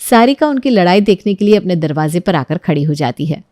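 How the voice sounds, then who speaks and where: A woman's voice: on the low side, animated, a little fast, darkish tone, dry recording. Woman narrating stories, dry close sound. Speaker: story narrator. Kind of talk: scripted story narration.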